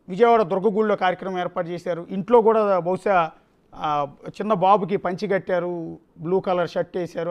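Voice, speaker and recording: A man anchoring the news, giving emphatic unscripted commentary and repeating phrases for effect. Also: high voice. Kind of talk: live news commentary, extempore.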